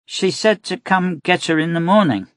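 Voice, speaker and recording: A man's voice: sits high, moderate pace, fairly bright tone, dry recording, studio quality, pitched above the performer's own voice. Celine, a man voicing a stubborn little girl. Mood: earnest. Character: young girl, earnest and stubborn.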